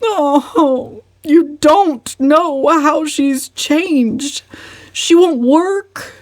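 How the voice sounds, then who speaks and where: High, whimpering, tearful older mother. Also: very slow, no room sound, studio quality. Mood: tearful complaint. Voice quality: sobbing, whiny.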